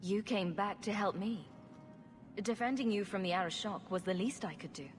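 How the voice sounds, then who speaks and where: A woman's voice: mid-range voice, normal speed, big-room sound, soft background music, natural voice. An actress playing a wry, sarcastic young female rogue champion in a video game cutscene. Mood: sincere. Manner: wry, teasing.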